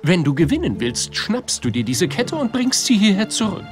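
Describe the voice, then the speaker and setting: Sly talking fish played by an actor, sarcastic and condescending, hugely animated delivery, faint music behind. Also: medium pitch, moderate pace, natural voice. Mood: sly persuasion. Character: sly, bossy, mocking talking fish scheming in undersea adventure. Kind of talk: animated film dialogue in an audio play.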